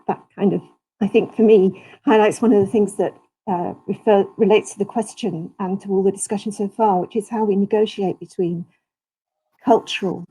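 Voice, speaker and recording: A woman literature scholar talking through ideas in an online seminar, thoughtful and animated. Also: mid-range voice, vocal fry, husky, poor audio. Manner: thoughtful, animated, academic phrasing. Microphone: video call.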